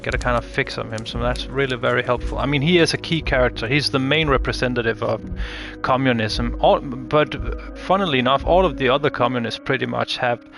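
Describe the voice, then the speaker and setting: A man's voice: medium pitch, brisk, gravelly, hardly any pauses, a bit bright, faint music behind. A man in a casual analytical discussion, speaking tentatively and thinking aloud as an interviewer. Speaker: interviewer researching game voices.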